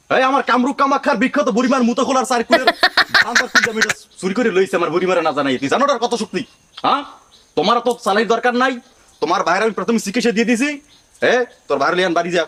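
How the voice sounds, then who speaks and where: A man's voice: high voice, pushed and bright, heavily acted. A man playing an irritable village exorcist guru in a comedy horror drama, scolding and giving brusque commands. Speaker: actor.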